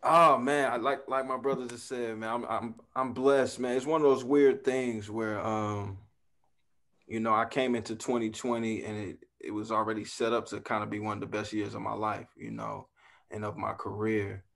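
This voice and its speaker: A man's voice: on the low side, flat delivery, normal speed, vocal fry, fairly bright tone. A man in his thirties, an actor, talking in a laid-back, rambling way with lots of fillers, on a video call from home. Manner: laid-back, talks freely with fillers and asides. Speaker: actor guest. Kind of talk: casual video call chat. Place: home room, indoors.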